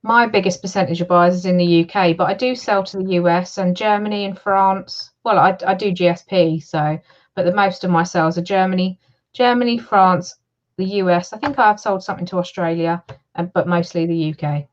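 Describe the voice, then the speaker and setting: A woman's voice: fairly low pitch, fairly quick. A woman from Suffolk with a southern English accent, a guest chatting casually, with a hesitant, filler-filled way of talking. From Suffolk, England. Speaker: guest, reseller. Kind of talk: casual interview chat. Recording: muffled lo-fi call audio.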